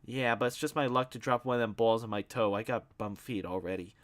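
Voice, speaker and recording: A man's voice: fairly low pitch, fairly quick, a bit bright, natural voice. A man voicing a tearful, quarrelsome older mother, whining and screeching. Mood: fretful. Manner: whiny, screeching scolding.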